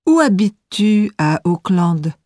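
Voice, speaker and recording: A woman's voice: on the low side, big pitch swings, unhurried, dark tone, dry recording, clean studio sound. A woman reading a scripted listening exam as interviewer and narrator, enunciating carefully.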